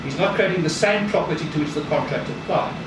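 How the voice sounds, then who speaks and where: A man in the audience works through a legal point step by step. His voice is a little high and sounds distant. Strong echo and steady noise fill the recording. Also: fast. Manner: methodical, argumentative. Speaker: audience member. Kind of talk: Q&A discussion. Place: seminar hall. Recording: echoey hall, distant mic, noise.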